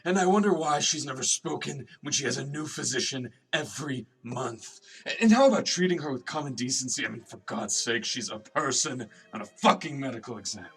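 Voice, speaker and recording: An intense, defensive male doctor played by a man with a middling pitch and an easily irritated edge. He sounds a little away from the mic, with music underneath, as in a sci-fi radio drama. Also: lively intonation, a little fast, hardly any pauses, a bit bright, husky. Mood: angry, indignant. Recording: slightly distant, music behind.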